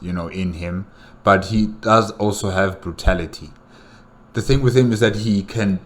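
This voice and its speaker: A man hosting a reaction show, giving casual commentary in a halting way, thinking aloud and repeating himself. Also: fairly low pitch. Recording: noticeable background noise.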